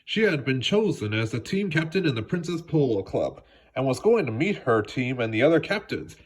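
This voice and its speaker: A man narrating a children's storybook aloud in an expressive way, occasionally stumbling on a word. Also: medium pitch, normal speed, breathy edge, low-quality recording. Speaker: storybook reader.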